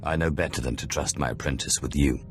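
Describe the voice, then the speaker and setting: An actor playing a curt, imperious, suspicious Sith lord in a video game. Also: male voice, extremely low pitch, steady tone, fast. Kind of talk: video game cutscene dialogue. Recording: music behind the voice.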